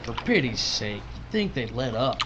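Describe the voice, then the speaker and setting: A man's voice: medium pitch, big pitch swings, normal speed, very noisy, close to an everyday voice. A man playing a crude, cynical older worker who strips corpses, needling and folksy, in an audio drama. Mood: leering, wistful.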